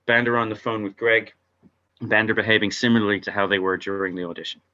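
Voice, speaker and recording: Male narrator for screenplay read-through: deep, forward tone, somewhat dull recording. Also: little pitch movement, fast talker, pushed and bright. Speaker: narrator reading stage directions at a table read. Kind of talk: reading screenplay directions aloud.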